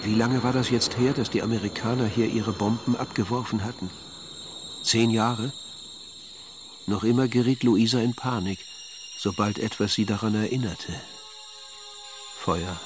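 A man narrating a scripted radio drama as a storyteller, with a gravelly voice. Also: lowish voice, fairly even intonation, unhurried, long pauses, music in the background.

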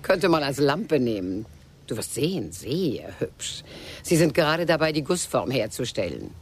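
A woman's voice: a bass voice, sing-song, measured pace, slightly rough, exaggerated voice. An actress playing a shrewd middle-aged mother in a radio crime drama, chatty and probing with a sardonic edge.

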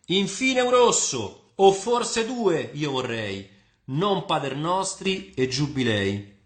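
A man voicing a tipsy, jovial older Roman popular leader, loud, singsong and drawling, in an audio storybook. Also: a little high, very animated, slow pace, reverberant, voice pushed up.

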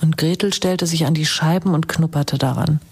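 An actress playing a hushed, breathy fairy-tale storyteller reading from a storybook in a radio drama. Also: female voice, sits low, normal speed. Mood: hushed, narrating.

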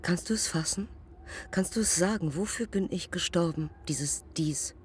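A woman's voice: fairly low pitch, moderate pace, a little hiss or hum, lightly acted. An actress playing a proud yet self-doubting woman aviator in a poetic radio play, confessing in clipped, terse fragments. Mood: searching, regretful. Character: heroic, self-doubting young woman aviator who took her own life.

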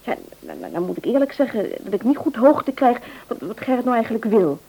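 A woman's voice: fairly high pitch, sing-song, brisk, dark tone, a little hiss or hum, rough recording. A woman playing a dutiful, eager grown-up daughter in a radio play, chatty and polite with some hesitancy. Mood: earnest, hesitant. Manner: chatty, polite, hesitant when asking favours. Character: Annie, dutiful, eager married adult daughter.

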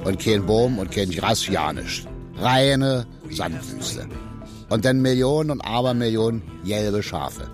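A know-it-all working-class barfly in a radio play, low-voiced and emphatic. He explains things, with music behind him. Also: lively intonation, slow pace. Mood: boastful, instructive. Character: pub storyteller, know-it-all working-class Berlin man. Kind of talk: radio drama dialogue.

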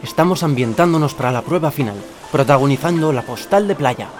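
A man's voice: on the high side, brisk, soft background music, close to an everyday voice. A man with a Spanish accent playing a jokey, easygoing friend in a naturalistic comic radio fiction. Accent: Spain. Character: jokey, easygoing male friend with playful remarks.

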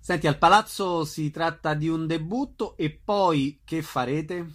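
A male radio host with a somewhat high voice. He talks in a conversational way, as an interviewer putting questions to guests. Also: unhurried, fairly bright tone.

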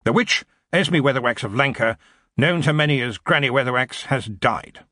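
A man's voice: normal speed, sharp tone, no room sound, studio quality. A man voicing an old, imperious university wizard in an audiobook, with a weighty, commanding manner. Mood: sad, wistful. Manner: booming, imperious. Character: old blustering male wizard, head of a university.

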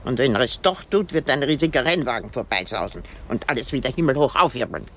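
A woman's voice: extremely low pitch, lively intonation, quick pace, heavily acted. An actress in a radio drama playing a weary, querulous old married woman, dramatic, self-pitying and given to exclamations.

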